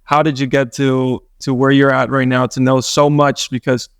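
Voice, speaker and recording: A man's voice: medium pitch, flat delivery, moderate pace, clear, resonant tone, dry recording, clean studio sound. A man hosting an in-person podcast interview, effusive and enthusiastic, slipping in the odd Spanish phrase, speaking into a handheld mic. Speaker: podcast host.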